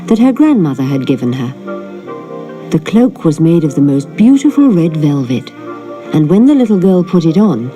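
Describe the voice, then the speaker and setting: A woman narrating a children's fairy tale in an expressive storytelling manner. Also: sits low, moderate pace, soft background music. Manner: animated storytelling. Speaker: storybook reader.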